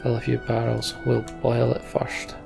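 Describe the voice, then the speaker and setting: A man's voice: medium pitch, monotone, measured pace, creaky, over music. A Scottish man hosting a let's play, mixing casual commentary with dramatic reading of the story text. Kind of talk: game commentary and dramatic narration of on-screen text.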